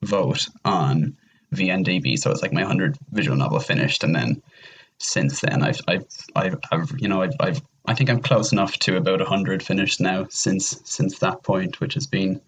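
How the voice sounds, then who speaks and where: Young Irish male, low voice, informal interview guest with a rambling delivery. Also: normal speed, fairly bright tone, breathy edge, rough recording. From Ireland. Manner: rambling, repeats words. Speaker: podcast guest. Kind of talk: casual interview chat.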